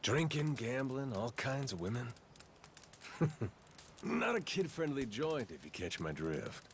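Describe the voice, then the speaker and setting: Swingy, folksy easygoing game gangster. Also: male voice, mid-range voice, very animated, a little slow, slight background noise. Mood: easygoing, wry. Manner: folksy slangy drawl, rough and teasing. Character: gruff, easygoing amnesiac Yakuza man, playful and grateful. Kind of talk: video game cutscene acting. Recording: faint music behind the dialogue.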